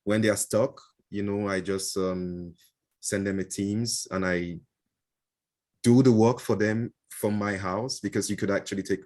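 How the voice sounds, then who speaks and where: A man with a fairly low voice talks loosely as an interview guest, stopping often for "um" and "uh" and restarting his sentences. His is Igbo, and he is heard through a video call. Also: steady tone, unhurried, darkish tone. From Nigeria. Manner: hesitant, many fillers and restarts, chuckles often. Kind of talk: casual interview answers.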